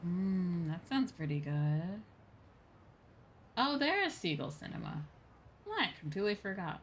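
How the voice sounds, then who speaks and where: Casual female game streamer, lower-pitched voice, chatty, faint noise behind. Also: expressive, unhurried. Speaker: streamer playing a video game. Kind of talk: casual livestream chat, reading game dialogue aloud.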